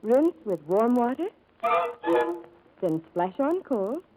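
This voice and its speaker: A woman's voice: on the high side, very animated, slow pace, low-quality recording. An actress playing a sweet, dainty young woman in a 1940s radio soap commercial. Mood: sweet, dreamy. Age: young adult. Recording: old radio recording, loud music behind.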